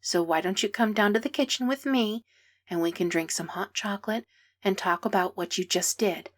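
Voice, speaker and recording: A woman voicing a gentle, calm aunt who soothes a scared child in soft, half-whispered tones. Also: medium pitch, normal speed, natural voice. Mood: soothing. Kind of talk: podfic character reading.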